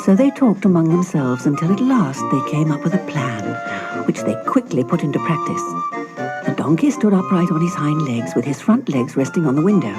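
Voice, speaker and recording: A woman narrates a fairy tale with lively, expressive intonation. She sounds like a storyteller reading aloud, with loud music behind her. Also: low-pitched, brisk.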